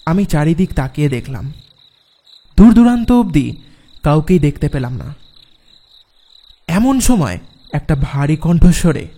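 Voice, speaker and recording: A man playing an anxious traveller who tells a horror story in the first person, dramatic and expressive. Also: fairly high pitch, a little slow, long pauses, heavily acted. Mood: reflective, tense. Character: anxious male traveller recounting his night crossing.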